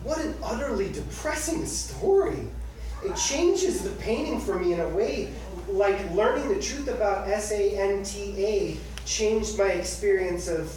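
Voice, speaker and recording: A man's voice: sits high, lively intonation, unhurried, crowd noise. A man in his thirties giving a live literary reading of his own personal essay in a conversational, wry way. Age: 30s. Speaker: author reading from own memoir. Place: indoor book-launch reading room with audience. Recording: echoey room from far off, faint noise.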